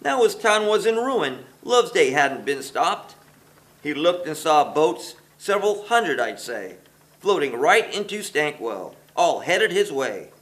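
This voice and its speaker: A man reading a rhyming children's story aloud in an expressive, sing-song rhythm. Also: fairly high pitch, unhurried, rough recording. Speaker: storybook reader.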